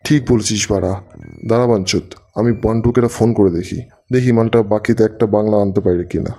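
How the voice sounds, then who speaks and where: Man playing broke cartoon slacker, rapid slangy wheedling, mellow tone, music behind. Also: on the low side. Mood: cajoling. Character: broke, cajoling young slacker friend, foul-mouthed. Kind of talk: Bengali comedy cartoon voice acting.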